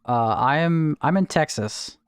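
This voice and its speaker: A man's voice: a little high, expressive, a little slow, smooth, no echo. A young man chatting casually on a livestream, greeting viewers and explaining game items as he plays. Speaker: streamer. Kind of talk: casual livestream chat while gaming.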